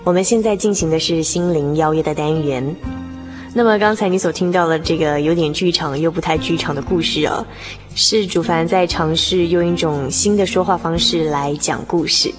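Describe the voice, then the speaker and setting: Lower-voiced woman radio host over music. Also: normal speed. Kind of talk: conversational program presenting. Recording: music behind.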